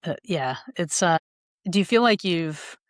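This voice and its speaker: A woman's voice: fairly low pitch, animated, unhurried, dry recording, studio quality. A woman hosting a podcast interview in a chatty, enthusiastic, affirming way. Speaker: podcast host. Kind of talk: casual interview chat.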